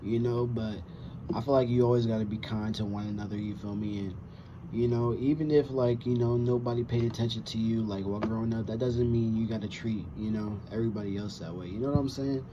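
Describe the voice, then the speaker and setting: A man's voice: lowish voice, fairly even intonation, a little fast, noisy background. A man, chatting casually to camera in a rambling, slangy way with frequent swearing. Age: 20s. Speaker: reaction video host. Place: small room with wooden cabinets indoors. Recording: dull lo-fi sound, music behind.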